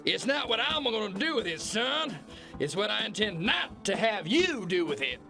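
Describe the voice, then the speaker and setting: A man's voice: sits high, very animated, normal speed, vocal fry, bright, forceful tone, faint music behind, full character voice. A man playing a bombastic, corrupt kingpin boss in an audio drama, blustering, mocking and condescending. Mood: smug, scornful. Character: bullying, corrupt alpha-male kingpin boss, bombastic and scornful. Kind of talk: theatrical radio-play acting.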